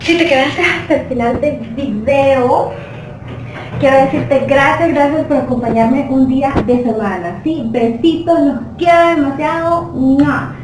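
A woman's voice: high voice, unhurried, long pauses, music in the background. A woman in her twenties chatting casually and informally to viewers, recorded on a phone at arm's length indoors at a gym. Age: 20s. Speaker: vlogger. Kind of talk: casual vlog chat to camera. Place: indoors at a gym, restroom and workout floor. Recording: echoey tiled room, noisy phone recording.

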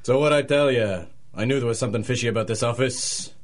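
A scheming, domineering gang leader played by a man out of a 1930s radio crime drama. He has a medium-pitched voice and orders people about in tough street slang. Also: fast talker, close to an everyday voice. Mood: smug, cajoling.

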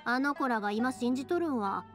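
A woman voicing a cheerful, big-sisterly young fireworks maker in an anime game, with a Kansai accent and a lively, reassuring, colloquial way of talking. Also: fairly high pitch, a little fast, faint music behind, natural voice. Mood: explaining. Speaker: video game character voice actor. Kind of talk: anime-style game dialogue.

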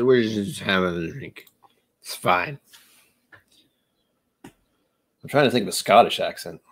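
A man's voice: on the low side, gravelly, breathy edge. A man in his thirties chatting casually on a home livestream, rambling and self-mocking, sometimes trying out joke accents. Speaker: streamer. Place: home room with shelves of bottles.

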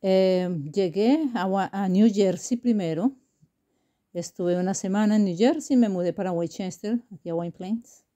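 An older woman with a Colombian accent answering casual interview questions in a halting, affectionate way. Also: mid-range voice, mellow.

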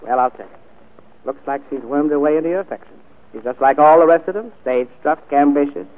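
An American actor playing a sly, cheeky manservant and scheming brother in a 1940s radio drama, slangy and mock-deferential. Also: male voice, fairly high pitch, quick pace, natural voice. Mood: sly, needling. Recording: tinny, band-limited 1940s radio broadcast.

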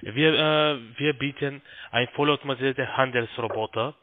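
A man working as a call centre account agent, speaking politely and haltingly on a sales call. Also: mid-range voice, a little hiss or hum. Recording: tinny phone line.

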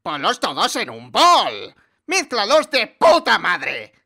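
A brash, foul-mouthed cartoon character voiced by a woman in a parody dub. The delivery is blunt and exaggerated, swinging widely in pitch with a forceful edge. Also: wildly swooping pitch, takes it slowly, vocal fry, heavily acted. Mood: instructing.

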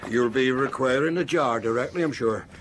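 An actor playing a genial, shrewd country innkeeper with archaic rustic speech in a radio drama. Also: male voice, medium pitch, moderate pace, noisy background, natural voice.

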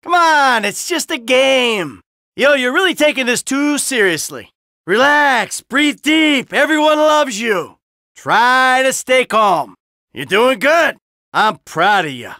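A man's voice: very high-pitched, big pitch swings, slow pace, pushed and bright, no echo, clean studio sound, exaggerated voice. An actor playing a sarcastic, hot-tempered, middle-aged American man in a midlife crisis, in short exasperated video game lines. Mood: frustrated, self-coaxing. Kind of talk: video game character barks.

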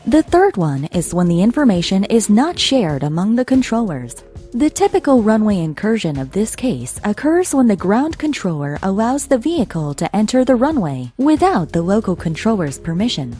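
A woman's voice: mid-range voice, sing-song, normal speed, warm tone, soft background music. A woman reading scripted instructional narration as a narrator.

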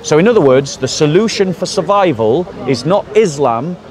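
A man's voice: on the high side, expressive, moderate pace. A man debating outdoors in a park, pressing his points and steering the conversation forward. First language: English. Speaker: street debater. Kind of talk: public debate. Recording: crowd noise outdoors.

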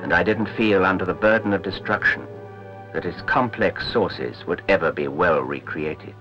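A man's voice: low-pitched, normal speed. A man giving a solemn, reflective literary reading for a documentary. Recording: dull old soundtrack, music behind.

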